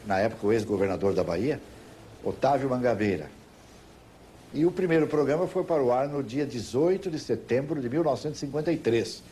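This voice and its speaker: An older Brazilian man reminisces as a veteran interviewer. His voice is soft-edged and mellow, his phrasing deliberate and emphatic. Faint noise from an old TV tape sits under him. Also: mid-range voice. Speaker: veteran television political interviewer. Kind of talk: reminiscing, recounting a story.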